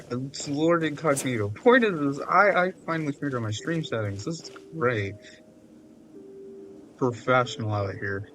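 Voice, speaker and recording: A man's voice: mid-range voice, fairly bright tone, a little hiss or hum. A man giving casual, rambling, self-deprecating commentary on a livestreamed game. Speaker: streamer.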